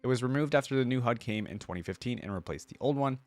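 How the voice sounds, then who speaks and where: A man's voice: medium pitch, animated, quick pace, dry recording. A man hosting a reaction video, giving informal, exclamatory commentary as he thinks aloud.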